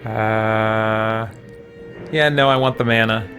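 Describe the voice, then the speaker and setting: A man's voice: on the low side, steady tone, slow pace, fairly bright tone, soft background music. A man hosting a gaming video, thinking aloud and exclaiming at the game in casual let's-play commentary. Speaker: gaming video host playing a fantasy RPG.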